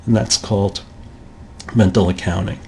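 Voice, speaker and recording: A man's voice: fairly low pitch, steady tone, moderate pace, vocal fry, a little mellow, breathy edge. A man giving a recorded college lecture in a conversational way, acting out the thoughts of shoppers in quotes.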